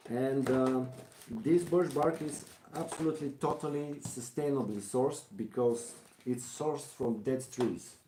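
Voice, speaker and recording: A man from Bulgaria with a Bulgarian accent, giving a casual live craft demonstration, haltingly thinking aloud as he works. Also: medium pitch, echoey room, background noise. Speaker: craftsman hosting a live stream.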